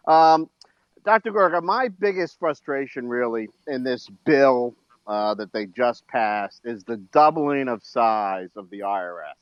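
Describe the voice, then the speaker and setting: A middle-aged man calling in to a live audio chat to ask a long, halting question full of fillers. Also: medium pitch, clear, resonant tone, no room sound. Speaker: caller. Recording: dull lo-fi dry audio.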